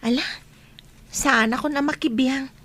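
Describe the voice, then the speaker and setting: A woman acting a concerned young student who gently questions her friend in an Ilocano radio drama. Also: sits high, steady tone, a little slow. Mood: coaxing, apologetic.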